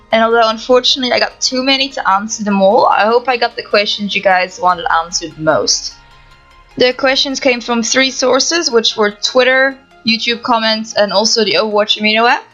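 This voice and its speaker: A woman's voice: fairly high pitch, moderate pace, sharp tone, muffled recording, soft background music. Young woman with a Dutch accent. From Netherlands. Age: young adult. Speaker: gaming YouTuber. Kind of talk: reading Q&A answers to camera, no face cam. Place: home setup, voice-over without camera.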